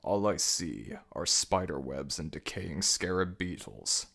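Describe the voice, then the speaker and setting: Man voicing a slow, very deep ancient jackal god. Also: animated, gravelly, fairly bright tone, exaggerated voice. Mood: dry, irritated. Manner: world-weary, dry, imperious. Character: ancient male jackal god of embalming, weary, grumbling. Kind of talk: scripted ASMR audio roleplay.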